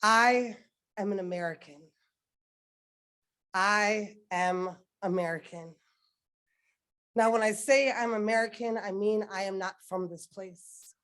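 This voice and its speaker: A woman's voice: medium pitch, steady tone, takes it slowly, pauses often, fairly bright tone. Woman poet at a podium, rhythmic spoken word delivery with a building refrain. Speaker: poet reading at a dedication ceremony. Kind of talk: spoken word poetry performance. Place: ceremony podium.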